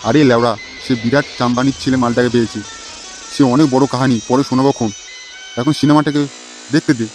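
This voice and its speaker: A man's voice: medium pitch, steady tone, fast talker, darkish tone, lightly acted. A man voicing a crude, rueful village club buddy in a comedy cartoon, telling things matter-of-factly. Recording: music clearly behind the voice.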